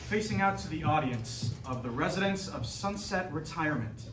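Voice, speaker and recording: A man's voice: on the high side, animated, moderate pace, fairly bright tone. Echoey man reading stage directions. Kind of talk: scripted narration. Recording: echoey room, distant mic, music.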